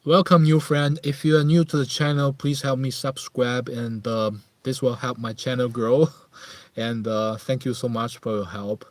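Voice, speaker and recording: A man from northeast China with a Chinese accent chats casually as a livestream host, musing haltingly and often repeating his phrases. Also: medium pitch, little pitch movement, dry recording. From Harbin, northeast China. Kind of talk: casual live chat monologue.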